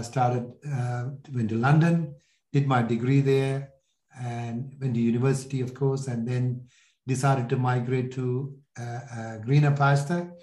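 A man in his sixties with a Sri Lankan accent, telling his life story in an interview in a halting, reflective way. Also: mid-range voice, dark tone, roomy sound. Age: 60s. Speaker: podcast guest, entrepreneur.

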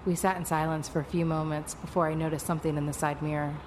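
A woman's voice: sits low, flat delivery, fast, noisy background, natural voice. A woman playing an alien-fighting heroine who narrates an audio drama in the first person. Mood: tense, suspenseful. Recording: loud music bed behind the voice.

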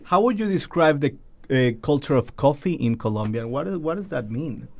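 A man's voice: a little high, lively intonation, moderate pace, darkish tone. A man from Ecuador, a Spanish speaker, interviewing a guest in English with short friendly prompts. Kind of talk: interview questions.

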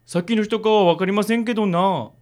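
A nerdy male idol superfan in a radio drama, acted by a man. His voice is strikingly high. He speaks in stilted, quaintly courteous sentences that run long. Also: steady tone, fairly quick, a little mellow, natural voice. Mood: stiff, obliging. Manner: quaint, stilted, long-winded formal phrasing. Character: nerdy, stiffly polite male idol fan.

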